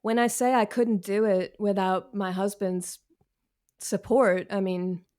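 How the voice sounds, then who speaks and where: Woman, thirties, medium-pitched voice, frank, self-deprecating, slangy, conversational guest. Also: steady tone, measured pace, a little mellow. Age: 30s. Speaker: author, podcast guest. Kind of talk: candid conversational interview.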